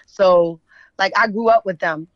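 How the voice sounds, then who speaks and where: A woman's voice: mid-range voice, measured pace, no room sound. A middle-aged woman with a mixed Philadelphia and New York accent tells stories in a casual, slangy way, often checking in with the listener. The sound is dry and a little dull and muffled. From West Philadelphia, United States. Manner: animated slangy storytelling, checks in with "right?". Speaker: radio show guest, writer. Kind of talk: casual interview chat, reminiscing.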